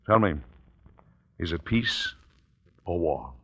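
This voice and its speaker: An actor playing a dignified, genial middle-aged American president in an old-time radio drama, speaking in a measured, formal way. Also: male voice, low voice, lively intonation, a bit bright, slight background noise, heavily acted. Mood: gracious, measured. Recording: dull vintage radio recording.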